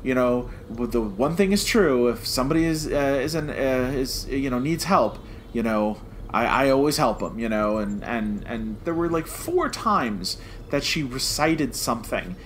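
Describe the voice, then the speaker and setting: A man co-hosting a review podcast in casual, conversational talk with halting, repeated starts. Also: medium pitch, animated, a little hiss or hum.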